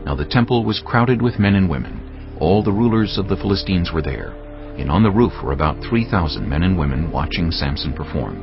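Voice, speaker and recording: A man narrating a dramatized audio Bible in a solemn, measured storytelling manner. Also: deep voice, very animated. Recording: slightly dull sound, faint music.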